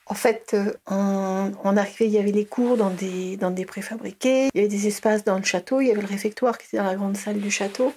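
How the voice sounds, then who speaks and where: A woman's voice: medium pitch, fairly even intonation, quick pace, hardly any pauses, dark tone, a little hiss or hum. A middle-aged woman recalling her school years in a casual interview, hesitant, with many fillers and restarts. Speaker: interviewee, early childhood educator.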